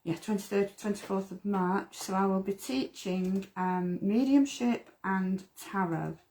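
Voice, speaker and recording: A woman's voice: medium pitch, a little slow, mellow, rough recording. A middle-aged woman, a tarot teacher, chatting casually and a little hesitantly. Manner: friendly, hesitant, lots of ums. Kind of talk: casual chat.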